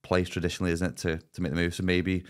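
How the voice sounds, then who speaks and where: A man's voice: deep voice, completely flat delivery, rapid-fire, clean tone. A man in his thirties co-hosting a podcast, giving emphatic advice and restarting his sentences. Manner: opinionated, emphatic, repeats words when starting. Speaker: podcast co-host. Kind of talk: casual chat. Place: small podcast studio, indoors. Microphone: studio mic on arm, headphones.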